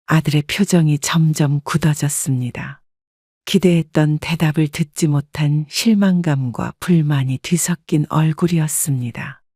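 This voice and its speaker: A woman narrating a first-person family story in a steady, scripted storytelling voice. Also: low voice, moderate pace, no echo, studio quality. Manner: steady, even-paced storytelling.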